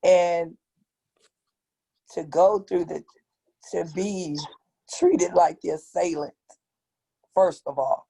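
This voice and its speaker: A woman with a raspy, hoarse voice telling a personal account on an online panel, halting and pausing often. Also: fairly low pitch, warm tone, poor audio. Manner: slow, halting, with long pauses. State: hoarse from tear gas. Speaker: activist guest. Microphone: video call.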